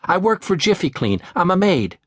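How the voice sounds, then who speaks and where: A male narrator plays an anxious young maid on an emergency call in a thriller audiobook. The voice is lifted high and swings widely with feeling, in a dry, close recording. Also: sing-song, normal speed, no echo. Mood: uneasy. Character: anxious young working woman, a maid phoning for help.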